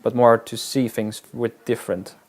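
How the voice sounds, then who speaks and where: A man, a wilderness guide, talking in a casual interview in a halting, reflective way with frequent restarts. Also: mid-range voice, flat delivery. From Netherlands.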